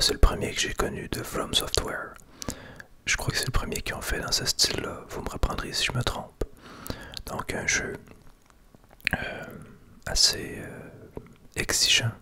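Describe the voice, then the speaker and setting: A man with a Québécois French accent whispering softly and unhurriedly to viewers in an ASMR gameplay commentary. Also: very high voice. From Quebec. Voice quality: soft unvoiced whisper. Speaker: video game streamer making ASMR gameplay videos.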